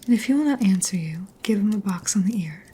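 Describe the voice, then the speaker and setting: A woman storyteller voicing a wicked, scheming fairy-tale stepmother in a breathy, coaxing whisper. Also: medium pitch, expressive, fast, warm tone. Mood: urgent, conspiring.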